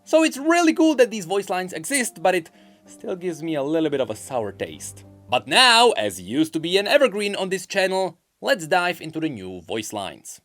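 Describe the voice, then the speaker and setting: A man's voice: sits high, big pitch swings, moderate pace, a bit bright, faint music behind. A man giving scripted commentary on video game lore and voice lines in an emphatic, explanatory way.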